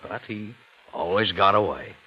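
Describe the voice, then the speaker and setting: An actor playing a sly, folksy investigator in a vintage radio drama, speaking casually and deliberately with knowing insinuation. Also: male voice, low-pitched, husky. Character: sly, folksy, patient investigator needling a suspect. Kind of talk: 1940s-50s radio acting. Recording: muffled vintage radio recording, faint noise.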